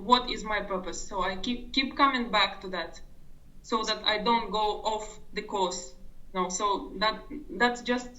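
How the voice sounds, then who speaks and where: A woman's voice: mid-range voice, pushed and bright, recorded from a distance, lo-fi sound. A woman with a non-native English accent, sharing her personal story sincerely as an interview guest and often restarting her sentences.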